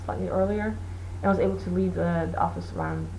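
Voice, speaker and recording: A woman's voice: lowish voice, huge pitch swings, a little fast, dark tone, slight background noise, poor audio. A woman whose first language is Portuguese, hosting a knitting show in a casual, rambling chat with many fillers. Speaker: knitting podcast host.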